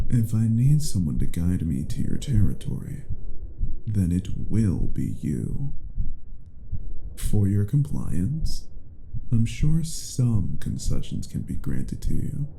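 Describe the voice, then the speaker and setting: Guy doing a menacing vampire, super slow n gravelly, haughty, talks down to his prey, bit of noise behind. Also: low-pitched, warm tone, exaggerated voice, low-quality recording. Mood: smug, condescending. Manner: slow, menacing, condescending, deliberate. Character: cruel, haughty male vampire toying with his captive. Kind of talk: dramatic roleplay audio acting.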